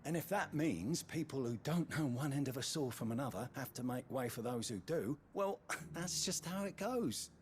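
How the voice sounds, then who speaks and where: An actor playing a pragmatic, easygoing soldier in a fantasy game, colloquial and matter-of-fact. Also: male voice, mid-range voice, expressive, normal speed, a little hiss or hum, natural voice. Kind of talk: scripted fantasy game acting.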